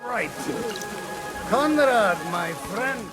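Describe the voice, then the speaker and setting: An actor playing a hearty, teasing medieval lord in a video game. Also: male voice, very high voice, lively intonation, extremely slow, music in the background, exaggerated voice. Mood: jovial, teasing. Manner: hearty, bantering. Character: jovial, teasing medieval lord hosting a celebration. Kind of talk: video game cutscene acting.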